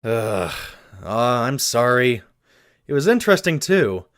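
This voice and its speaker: A man's voice: mid-range voice, very animated, unhurried. A man playing an easygoing, curious cargo ship captain with a casual, wry manner. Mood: sheepish.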